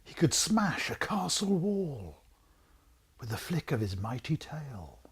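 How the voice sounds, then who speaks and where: A man reading a children's storybook aloud in a theatrical, suspenseful way, with a husky voice that drops into breathy whispers. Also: on the high side, very animated, takes it slowly. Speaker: author reading own book.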